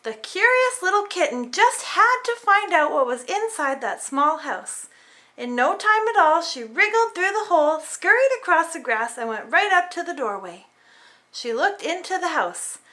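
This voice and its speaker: Bright, high-pitched woman reading a storybook. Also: normal speed. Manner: animated, dramatic storytelling for small children. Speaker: storybook reader. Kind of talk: expressive children's read-aloud narration.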